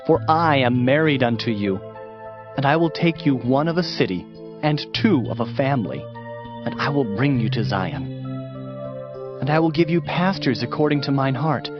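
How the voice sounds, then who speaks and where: Guy narrating scripture, solemn n declamatory, pitch swings a lot, music playing under it. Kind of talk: dramatized scripture reading. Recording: dull band-limited audio, music behind.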